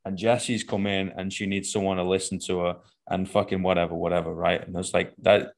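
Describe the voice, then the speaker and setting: A man's voice: low-pitched, little pitch movement, a little fast. A man with a Northern English accent, a fitness coach, talking bluntly and swearing often, ending his points with "right?" and "man". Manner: blunt and profane, says "right?" and "man" after almost every point. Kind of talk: casual podcast chat.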